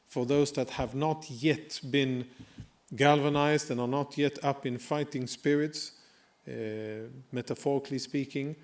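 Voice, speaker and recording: Man from Sweden, mid-pitched, earnest speech at a dinner, halting with fillers. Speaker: guest speaker. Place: banquet hall.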